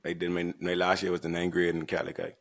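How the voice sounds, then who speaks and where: A man from the Bahamas with a Bahamian accent, co-hosting a podcast and telling his story in a relaxed, laid-back way. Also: low-pitched, quick pace. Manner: relaxed, laid-back storytelling with frequent "right" and "you know". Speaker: podcast co-host. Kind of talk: casual chat.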